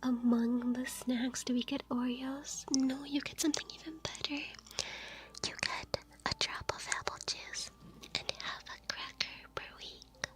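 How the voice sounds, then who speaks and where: Softly whispering woman streamer. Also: high-pitched, fairly even intonation, unhurried. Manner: soft whispering, giggly, chatting to viewers. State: laughing to tears. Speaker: live streamer. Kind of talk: whispered ASMR live stream.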